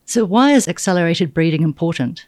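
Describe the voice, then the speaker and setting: A woman's voice: on the low side, animated, fairly quick, darkish tone, no room sound, clean studio sound. A woman hosting a science podcast, reading friendly introductions and asking questions. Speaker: podcast host. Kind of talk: interview hosting.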